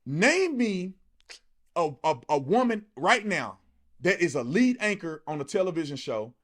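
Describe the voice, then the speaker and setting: An American man speaks in a high voice that swings widely in pitch. He delivers opinionated video commentary emphatically, in colloquial phrasing with rhetorical challenges. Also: very animated, a little slow, fairly bright tone. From United States. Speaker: online political commentator. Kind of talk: impassioned opinionated video monologue.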